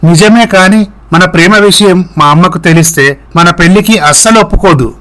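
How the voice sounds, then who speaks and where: An earnest young man in love voiced by a male storyteller, pleading and reassuring in a moral tale. His voice is slightly high for a man. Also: moderate pace, fairly bright tone.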